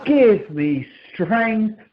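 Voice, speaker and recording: Exasperated check-in man played by a woman, polite, unhurried, animated, radio comedy over dull phone line. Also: medium pitch, heavy background noise. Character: exasperated, polite male airline check-in clerk. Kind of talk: amateur radio comedy acting. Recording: dull telephone conference line.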